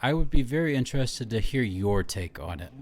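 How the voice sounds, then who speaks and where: A man's voice: mid-range voice, lively intonation, brisk. A man hosting a casual podcast, reading listener comments aloud and laughing often. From Ohio. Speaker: podcast host.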